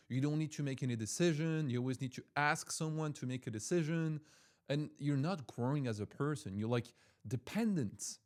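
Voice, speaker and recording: A man's voice: fairly high pitch, darkish tone. A young man from Switzerland, a language-school owner, talking casually and motivationally to his viewers, full of fillers and repeated words. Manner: chatty, repeats himself, heavy use of "like" and "right?". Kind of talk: casual motivational talk to camera.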